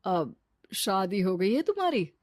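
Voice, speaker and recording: A woman's voice: mid-range voice, very animated, unhurried, darkish tone, no room sound, clean studio sound. A woman voicing an arrogant, fickle husband in a cartoon story, brash and selfish. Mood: smitten. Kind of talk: Hindi cartoon story dialogue.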